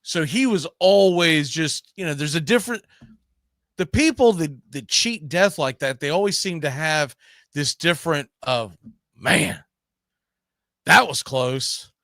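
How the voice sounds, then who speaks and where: A man's voice: a little high, expressive, unhurried, fairly bright tone, dry recording, clean studio sound. A man hosting a casual baseball talk show, conversational and often checking in with tag questions. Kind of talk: casual sports discussion.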